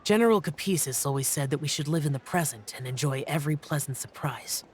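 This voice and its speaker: An actor playing an aloof, solemn young immortal warrior in a video game, formal, brooding and halting. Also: male voice, on the high side, slight background noise, lightly acted. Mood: pensive, reserved.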